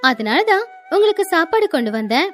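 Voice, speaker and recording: Lady doing a sweetly earnest young daughter-in-law in a village cartoon, high voice, pleading and respectful. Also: sing-song, brisk, a bit bright, soft background music, exaggerated voice. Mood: confident, respectful.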